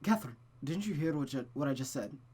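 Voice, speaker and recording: A reader voicing a flustered, earnest young nobleman with a halting, sheepish manner, in a visual novel read aloud. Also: mid-range voice, lively intonation, darkish tone, breathy edge.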